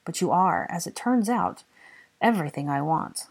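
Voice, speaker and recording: Audiobook role: woman as exasperated, lovesick young man, husky, impassioned, sardonic, stumbling over his declarations. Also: lowish voice, lively intonation, normal speed. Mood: fervent confession. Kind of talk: dramatic audiobook character dialogue.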